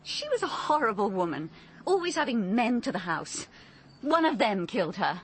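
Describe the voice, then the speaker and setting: An actress playing a defiant, wounded young daughter in love, stubborn and argumentative, in a radio drama. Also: female voice, on the high side, sing-song, normal speed, slightly rough. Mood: defiant, hurt. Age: young adult.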